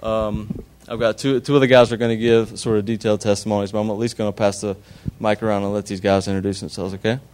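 A man's voice: fairly low pitch, level tone, quick pace, hardly any pauses, a little mellow. A man leading a church gathering, speaking earnestly with small hesitations as he passes the mic around. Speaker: leader of a group testimony. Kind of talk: church address.

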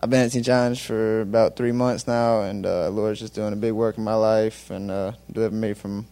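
A man, giving a short personal testimony in a plain, soft-spoken way. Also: on the low side, level tone, a little fast. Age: 20s.

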